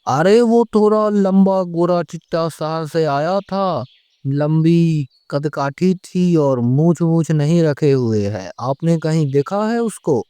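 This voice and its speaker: A man's voice: fairly high pitch, animated, slow pace, warm tone, clear, resonant tone, no echo. A man playing a worried village politician in a horror cartoon, fretting and questioning as he goes. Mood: anxious. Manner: fretful, muttering questions to himself. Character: worried male village politician searching for missing guest. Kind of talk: Hindi horror cartoon voice acting.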